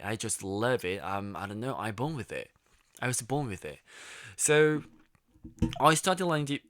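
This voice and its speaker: A young man with a Korean accent talks to his viewers in a slow, lower voice, full of restarts and fillers. His voice is clear and smooth, recorded close and dry with no room sound. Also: a bit bright, dry recording. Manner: halting, many restarts and fillers, searching for words. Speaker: YouTube vlogger. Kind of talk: casual monologue.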